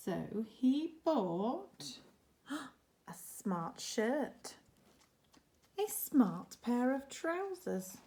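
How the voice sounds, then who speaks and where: Slow-paced woman reading a picture book. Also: sits high. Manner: expressive, chatty asides to listeners. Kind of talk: children's story narration.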